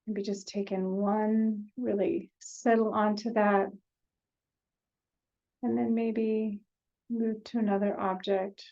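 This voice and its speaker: A woman guides a meditation at a very slow pace, with long pauses between short phrases. Her voice is relaxed and mellow, and her manner is gentle. The recording sounds slightly dull and muffled. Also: medium pitch, gravelly.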